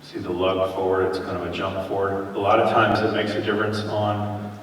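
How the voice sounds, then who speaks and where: Male presenter, low-ish voice, relaxed coaxing patter, distant mic in a big echoey hall. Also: brisk. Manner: casual, coaxing, chant-like repeated phrases. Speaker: energy healer presenting live. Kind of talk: live demonstration talk. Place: event hall with audience. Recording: echoey hall from far off, faint noise.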